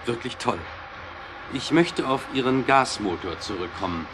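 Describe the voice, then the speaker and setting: Courteous gentleman investor acted by a man, deliberate and formal, middle register, audio drama with clear ambient noise. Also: mid-range voice, expressive, lightly acted. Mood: appreciative, resolved. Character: courteous, supportive gentleman client and would-be investor. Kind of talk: German audio drama acting.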